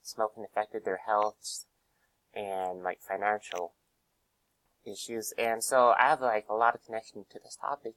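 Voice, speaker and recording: A hoarse young man with a cold, giving a casual class presentation, full of fillers and pauses. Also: lowish voice, a bit bright, poor audio. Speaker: student presenter.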